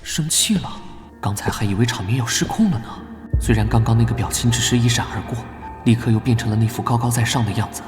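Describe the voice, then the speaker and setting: A man playing a young knight, proud and guarded, serious and reserved. His middle-pitched voice rings in a long reverb, with clear music behind it. It is animated drama delivery, often turned inward like private thought. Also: fast, natural voice. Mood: brooding. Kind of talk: animated drama dubbing with inner monologue.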